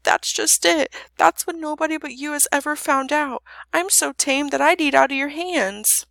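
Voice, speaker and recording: A woman voicing a huge old male dragon, growling and rumbling, with humble, folksy turns of phrase. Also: moderate pace, creaky, a bit bright, no echo. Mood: tearful, wounded.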